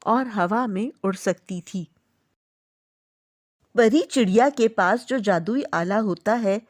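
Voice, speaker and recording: A woman's voice: medium pitch, a little mellow. A woman narrating a scripted children's cartoon story.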